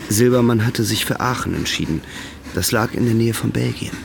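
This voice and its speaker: An actor as the sober storyteller of a grim radio drama, narrating in a measured way. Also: male voice, medium pitch, creaky, background noise, close to an everyday voice. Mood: sober, grave.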